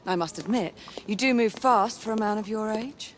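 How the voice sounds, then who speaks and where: A woman's voice: medium pitch, moderate pace, slight background noise, lightly acted. An actress playing a tough, teasing female knight in a video game, with a poised, assertive manner. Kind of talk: video game cinematic acting.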